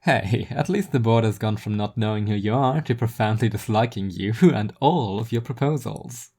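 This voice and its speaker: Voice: medium pitch, moderate pace, dark tone, breathy edge. A performer voicing a friendly, concerned man, an old friend, in a casual, teasing audio story manner.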